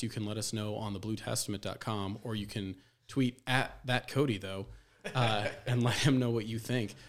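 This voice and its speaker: A man with a lowish voice banters casually as a sports podcast co-host. He makes emphatic declarations, with some music in the mix. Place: recorded in person with co-hosts.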